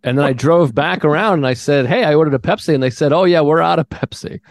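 A man's voice: fairly high pitch, quick pace, no room sound, clean studio sound. A man chatting casually and comedically as a guest on a podcast.

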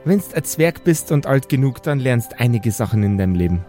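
A man's voice: medium pitch, big pitch swings, normal speed, mellow, voice pushed down, close to an everyday voice. A man voicing a doting but fierce dwarf mother in an audio play, folksy and determined. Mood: matter-of-fact. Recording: music behind the voice.

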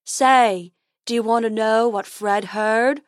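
A woman voicing a silly, stubborn male unicorn who speaks slowly and emphatically. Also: on the high side, steady tone, no room sound, studio quality. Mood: eager. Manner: slow, emphatic, talks about himself by name.

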